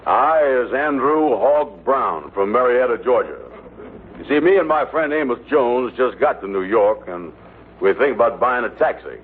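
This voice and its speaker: A man's voice: medium pitch, animated, normal speed, close to an everyday voice. A man playing a gullible, self-important dreamer in an old radio sitcom, talking in folksy, ungrammatical style. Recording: very noisy narrow-band vintage radio recording.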